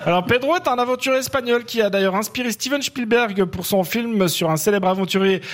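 A man with a Swiss French accent telling an absurd made-up story as a quiz panelist, deadpan and cracking himself up. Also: high voice, brisk. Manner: deadpan absurd storytelling, laughing at own jokes. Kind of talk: comic storytelling quiz answer.

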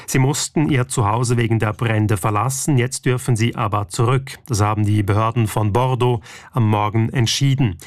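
A man reading a radio news bulletin in a measured, even way. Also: medium pitch, no room sound, studio quality. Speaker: radio newsreader.

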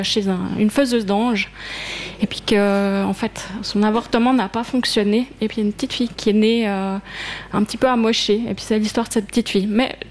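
A woman's voice: medium pitch, a little hiss or hum. A woman, a librarian running a radio quiz, patient and encouraging as she gives hints. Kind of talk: quiz hosting and casual chat.

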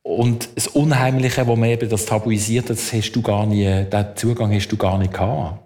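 A man's voice: lowish voice, fairly even intonation, normal speed, a little mellow. A man with a Swiss German accent hosting the conversation, asking probing questions with some hesitation. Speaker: host. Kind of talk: interviewing.

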